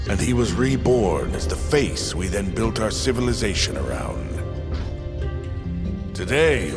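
Slow, world-weary older man. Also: on the low side, full character voice. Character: gruff older working man and storyteller, fond, world-weary. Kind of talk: audio drama acting. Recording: long reverb over loud music.

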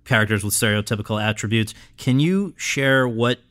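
A man's voice: mid-range voice, normal speed, a bit bright. Man asking long, careful interview questions. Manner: long, carefully built questions, short backchannels.

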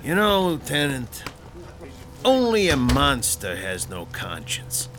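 Radio-drama mob boss, played by a man: slow, gruff, sardonic, Chicago accent, pitch rising and falling a lot. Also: wildly swooping pitch, music in the background. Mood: menacing. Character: menacing 1930s Chicago mob boss, middle-aged. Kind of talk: audio drama acting.

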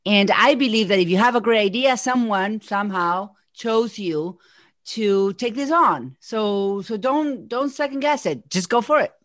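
A woman's voice: medium pitch, a little slow, no echo, clean studio sound. Woman with an Argentine Spanish accent. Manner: energetic, thinks aloud with restarts. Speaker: guest, executive coach. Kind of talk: casual podcast conversation.

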